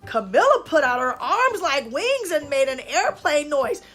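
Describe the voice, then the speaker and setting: High-pitched, animated woman storyteller for children. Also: soft background music. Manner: deliberate, emphatic phrasing for children. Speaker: storyteller and host of children's read-alouds. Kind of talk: expressive picture-book read-aloud and talk to children.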